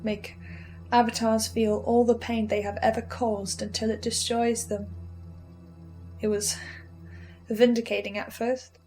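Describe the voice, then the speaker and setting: A high-pitched voice for a woman acting a brooding, defiant female researcher. She speaks in a dramatic, halting monologue, tripping over words and pausing with intensity. Faint music plays behind her. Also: little pitch movement, darkish tone, close to an everyday voice, poor audio. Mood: troubled, self-doubting. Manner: halting, stammering, intense, with pauses. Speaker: host reviewing a fiction podcast in character.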